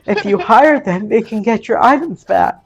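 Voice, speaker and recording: Man playing a halting, distraught teenage girl, creaky voice. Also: sits high, lively intonation, a crowd in the background, voice pushed up. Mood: nervous, recounting. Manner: halting, stammering, repeats words. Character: distraught, guilt-ridden teenage orphan girl confessing.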